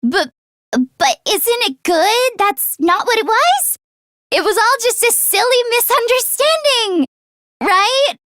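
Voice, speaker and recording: An excitable teenage girl inventor from an anime-style video game. Her voice is very high and sharply bright, with a close, dry sound. She is earnest and expressive, easily flustered. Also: takes it slowly, dry recording, heavily acted. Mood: awkward, flustered. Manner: expressive, swinging from deadpan grumbling to frantic outbursts.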